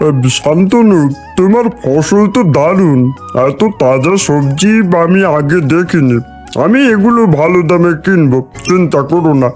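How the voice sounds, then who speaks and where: An actor playing a frank, businesslike vegetable trader in a cartoon. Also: male voice, on the high side, animated, unhurried, darkish tone, faint music behind. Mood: pleased.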